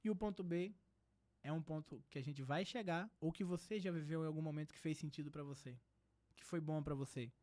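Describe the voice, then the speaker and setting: Quick-talking earnest Brazilian psychiatrist. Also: male voice, fairly high pitch, darkish tone, no echo. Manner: earnest, reflective, many filler "né". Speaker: psychiatrist guest. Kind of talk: casual podcast conversation.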